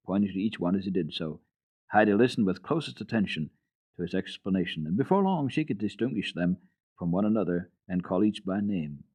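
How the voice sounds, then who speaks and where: A man giving an expressive dramatic reading of a children's book on the radio. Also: fairly low pitch. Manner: expressive, lilting storytelling. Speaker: radio storyteller. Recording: tinny old radio recording.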